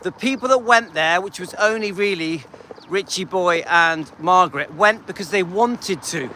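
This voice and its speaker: A man's voice: high-pitched, moderate pace, pushed and bright, a little hiss or hum. An English man live streaming on a dog walk, chatting with viewers and reading out their comments in a rambling, friendly way. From England.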